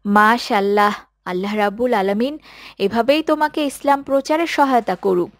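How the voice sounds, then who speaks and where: A devout, caring mother from a moral cartoon, acted by a woman. Her voice sits fairly high and she talks to her child with affectionate expression, in a close, dry recording. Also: fairly quick, no echo, close to an everyday voice. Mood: proud, blessing. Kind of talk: scripted cartoon dialogue.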